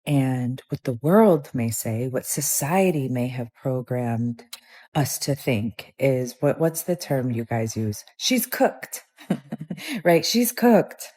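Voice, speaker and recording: A woman's voice: rumbling low, lively intonation, slow, mellow. A woman in her forties talking candidly and reflectively to the camera, with some hesitation. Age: 40s.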